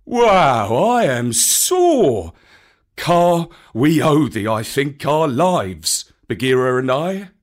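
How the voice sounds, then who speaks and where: A reader voicing a big kindly old bear who speaks gravely and fondly in a storybook. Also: mid-range voice, very animated, extremely slow. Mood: tender.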